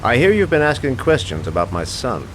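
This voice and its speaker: A man's voice: medium pitch, wildly swooping pitch, brisk, slight background noise. An actor playing a wealthy, influential father who warns a detective away from his son in a curt, menacing manner. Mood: stern. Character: wealthy influential man, cold and threatening. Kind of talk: video game cutscene acting.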